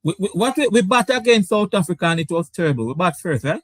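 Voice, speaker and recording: A man with a Caribbean English accent hosting a sports debate show, animated and repeating his words. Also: sits high, quick pace, no room sound. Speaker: show host. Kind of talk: lively sports debate talk.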